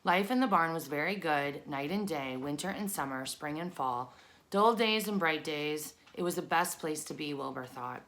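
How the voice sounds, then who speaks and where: Woman reading a kids book out loud, kinda low voice, storybook narration. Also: moderate pace. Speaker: storybook reader. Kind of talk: scripted narration, reading a children's book aloud.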